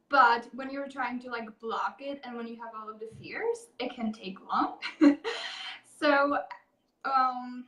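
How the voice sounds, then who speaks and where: Bright-voiced woman from Czechia, echoey call. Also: fairly high pitch. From Czech Republic. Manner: rambling, many fillers like "like" and "um". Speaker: guest, happiness coach. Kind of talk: casual interview chat. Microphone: video call. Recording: echoey room, distant from mic.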